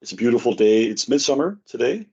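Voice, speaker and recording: A man's voice: mid-range voice, sing-song, quick pace, darkish tone, breathy edge. A man hosting a podcast interview in an office, hesitant with many fillers and repeated words. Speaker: podcast host. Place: office overlooking the harbour. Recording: dull lo-fi sound.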